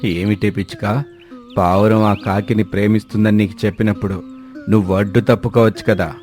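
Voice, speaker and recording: A man's voice: fairly low pitch, level tone, a little fast, darkish tone, voice pushed down, lightly acted. A man voicing an angry, threatening eagle who scolds and makes demands in a children's cartoon story. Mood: angry scolding. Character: angry, threatening eagle bossing smaller birds. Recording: music bed under the voice.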